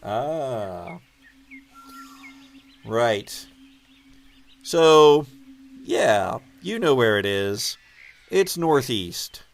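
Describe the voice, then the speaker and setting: Slow-paced man running a tabletop game. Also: mid-range voice, big pitch swings. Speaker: game master. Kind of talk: improvised tabletop game narration.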